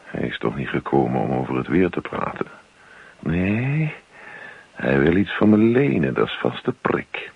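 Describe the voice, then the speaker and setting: A man's voice: rumbling low, sing-song, unhurried, gravelly, husky, heavily acted. An actor playing a grumpy, put-upon middle-aged man in a radio play, grumbling and muttering reluctant asides about his neighbour. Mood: muttering, resigned. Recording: dull lo-fi radio play recording.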